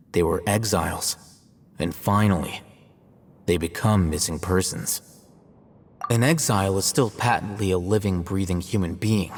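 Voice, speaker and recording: A calm, low-voiced scholar. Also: male voice, slightly rough, heavily acted. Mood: grave. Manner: calm, measured, explanatory. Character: calm male academy scribe, scholarly and measured. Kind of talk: video game voice acting. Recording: long reverb with game music.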